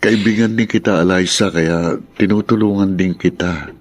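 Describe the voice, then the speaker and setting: A loyal, low-voiced young friend. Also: male voice, normal speed, no echo. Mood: sympathetic. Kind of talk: Tagalog radio drama acting.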